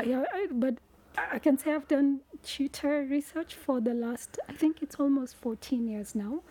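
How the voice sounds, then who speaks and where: A woman with a Kenyan accent, a researcher talking casually about her work in a soft, thoughtful way that often trails into a whisper. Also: sits high, unhurried. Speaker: cheetah researcher, guest. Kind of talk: casual conversation.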